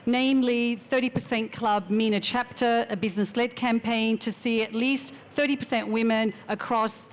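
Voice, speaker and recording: A woman giving a measured, formal introduction as a panelist at a finance conference. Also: a little high, steady tone, a little hiss or hum. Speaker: panelist, strategic advisor.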